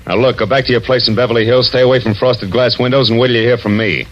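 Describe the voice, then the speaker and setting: An actor playing a wry, world-weary American private detective in 1940s radio noir style, clipped and wisecracking. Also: male voice, mid-range voice, steady tone, fast talker, slight background noise, natural voice. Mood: brisk, businesslike. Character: hard-boiled, wry male private detective. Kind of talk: 1940s radio noir acting, narration and dialogue. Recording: muffled vintage radio recording with music.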